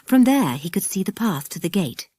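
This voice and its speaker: A woman's voice: medium pitch, very animated, moderate pace, dark tone, breathy edge, dry recording. A woman reading scripted narration for a children's audiobook, close to a studio microphone.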